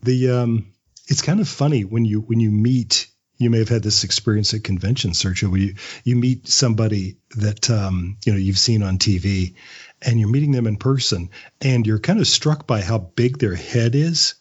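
A man's voice: on the low side, moderate pace, breathy edge, muffled recording. A man speaking as an interview guest in a casual, anecdotal, conversational way, often chuckling as he talks. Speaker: interview guest, writer and actor.

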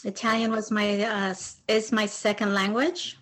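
A woman's voice: medium pitch, flat delivery, normal speed. A woman in her sixties from Argentina, a native Spanish speaker, telling her story in an interview as a retired translator.

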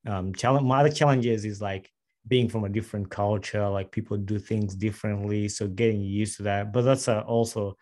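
A young man from Uganda talking casually as an interview guest, quick and rambling with restarts. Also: lowish voice, fairly even intonation, a little mellow. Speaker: guest, aircraft mechanic.

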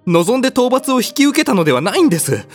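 A man playing a timid, cowardly young mortal hero in a comedic audio drama, polite but whiny, flustered and quick to protest. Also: very high voice, big pitch swings, soft background music, heavily acted. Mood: frightened, whiny.

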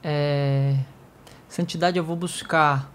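A man's voice: a little high, fairly even intonation, takes it slowly, slight background noise. A man with a nasal voice and a Brazilian Portuguese accent, a worship songwriter talking casually and reflectively about how he writes songs. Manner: reflective, storytelling with quoted dialogue. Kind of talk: casual chat.

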